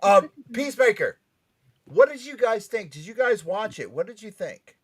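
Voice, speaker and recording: A man hosting a pop culture talk show, bantering with the panel in an excitable, emphatic, teasing way. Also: high-pitched, normal speed, breathy edge.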